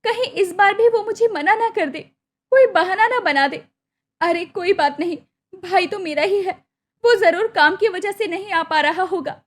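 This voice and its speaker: Woman acting devoted young village sister, extremely high voice, earnest, pleading, cartoon moral story. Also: moderate pace. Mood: sad, worried.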